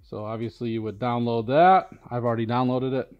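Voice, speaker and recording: A man's voice: medium pitch, steady tone, brisk, lo-fi sound, soft background music. A middle-aged man giving a casual, matter-of-fact walkthrough in an informal way, recorded indoors in a workshop. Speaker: product demonstrator at a powersports tuning shop. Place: large indoor vehicle workshop.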